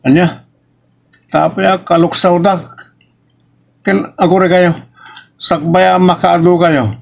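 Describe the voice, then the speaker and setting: A man's voice: high voice, little pitch movement, slow pace, creaky, exaggerated voice. A man with an Ilocos accent playing an aggrieved married son who questions his parents insistently in a drama. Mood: troubled. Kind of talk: Ilocano audio drama acting.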